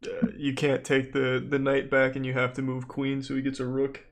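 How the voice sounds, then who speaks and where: A man's voice: medium pitch, monotone, moderate pace, a little hiss or hum. A man chatting casually on a stream, thanking viewers and asking tentative questions about moves while learning chess. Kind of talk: casual live-stream chat.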